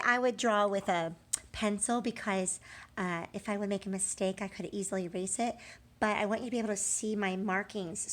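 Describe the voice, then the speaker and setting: A woman teaching young children in a read-aloud lesson, asking questions, pausing and drawing out key words for emphasis. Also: medium pitch. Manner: prompts with questions, pauses, affirms answers, drawn-out emphasis on key words. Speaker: early literacy teacher.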